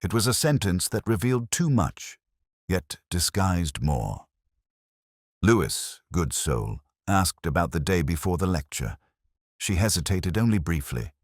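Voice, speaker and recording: Very deep-voiced male mystery narrator. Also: big pitch swings, dry recording, clean studio sound. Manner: measured, literary, reflective. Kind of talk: scripted first-person detective story narration.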